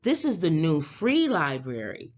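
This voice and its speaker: A woman's voice: deep voice, wildly swooping pitch, a little slow, darkish tone, muffled recording, full character voice. A woman voicing a cheerful, patient young girl in a storybook, polite and encouraging. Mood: proud, welcoming.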